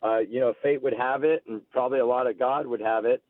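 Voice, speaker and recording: A man's voice: medium pitch, fairly even intonation, brisk, no echo. Man in his forties, muffled call-in line. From United States, Alabama-born, grew up near Seattle. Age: 40s. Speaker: guest, political candidate. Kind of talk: casual radio interview, personal storytelling.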